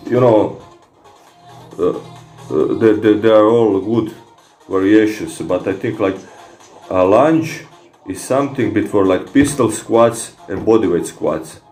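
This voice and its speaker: A man with a Croatian accent who speaks Croatian natively, casually answering comments from viewers in a fitness vlog, halting with many fillers and restarts. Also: low-pitched, a little mellow, roomy sound. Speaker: fitness vlogger.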